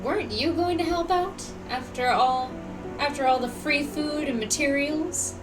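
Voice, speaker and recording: Female game streamer, casual reactions, high pitch, unhurried, slightly distant mic, game music behind.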